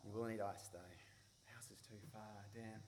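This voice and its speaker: Man acting halting young Australian guy, noisy recording. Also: fairly low pitch, steady tone, gravelly, darkish tone. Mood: concerned, practical. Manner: halting, self-correcting. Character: awkward, caring young man, exasperated but loyal friend. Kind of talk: naturalistic radio play acting. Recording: noisy live radio play recording.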